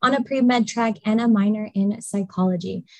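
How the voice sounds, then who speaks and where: A woman's voice: medium pitch, normal speed, mellow, dry recording. Young woman from Hawaii and Nevada, confident, mid voice, dry room. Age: young adult. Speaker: student tour guide. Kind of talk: casual self-introduction.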